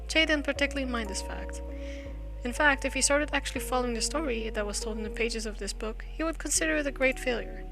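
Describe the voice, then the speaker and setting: A woman's voice: on the high side, fast talker, gravelly. A woman streaming a game playthrough, reading story text aloud and chatting casually. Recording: music under the voice. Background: game music.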